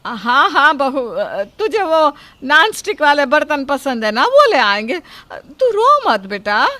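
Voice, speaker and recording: A woman's voice: high voice, big pitch swings, unhurried, bright, forceful tone, dry recording, full character voice. A woman playing an older, thrifty mother-in-law, motherly yet scolding, with an emphatic theatrical delivery in a moral story. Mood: soothing. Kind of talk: acted dialogue in a Hindi moral story.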